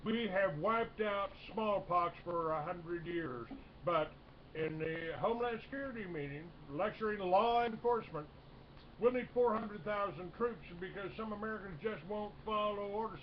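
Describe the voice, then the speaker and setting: Man in his sixties, high voice, rambling talk-radio host, dull lo-fi broadcast sound with faint hiss. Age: 60s. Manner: rambling, halting, many fillers and restarts. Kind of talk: casual talk radio chat.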